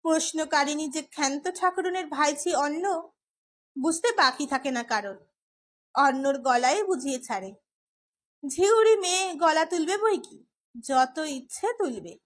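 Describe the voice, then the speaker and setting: A woman reading a novel aloud as a storyteller, expressive in her scripted narration. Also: high voice, unhurried. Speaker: audiobook narrator.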